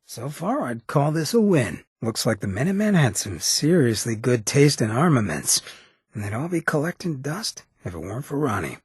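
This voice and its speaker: An actor playing a roguish, streetwise ghoul in a video game, with a very raspy, gravelly voice and a cocky, laid-back, slangy way of talking. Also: male voice, mid-range voice, big pitch swings, normal speed, dry recording, clean studio sound. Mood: approving. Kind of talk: video game companion dialogue.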